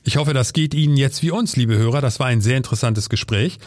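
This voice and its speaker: A man's voice: mid-range voice, quick pace, darkish tone. A man hosting a podcast, speaking in a conversational moderating style. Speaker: podcast host.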